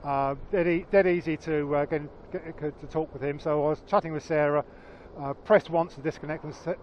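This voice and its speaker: Loud-voiced man, dull outdoor recording. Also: fairly high pitch, steady tone. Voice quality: naturally loud voice. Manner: halting, repeats words, explains carefully. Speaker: motorcycle vlogger. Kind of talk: casual chat. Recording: dull lo-fi sound with faint traffic.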